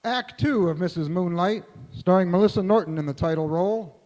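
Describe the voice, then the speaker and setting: A formal host of a vintage radio theatre, acted by a man, telling the story in a slightly high male voice. It rings with the long reverb of a hall. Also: a little fast, darkish tone, natural voice. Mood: measured, dramatic. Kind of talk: scripted 1940s radio narration.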